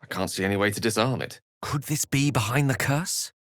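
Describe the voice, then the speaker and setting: A man's voice: mid-range voice, brisk, a bit bright, dry recording, clean studio sound, natural voice. A man voicing an eloquent, wry, learned wizard for a video game, speaking in an articulate, wordy, theatrical manner. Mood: thoughtful. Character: eloquent, learned adult male human wizard, wry and courteous. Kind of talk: video game character voice lines.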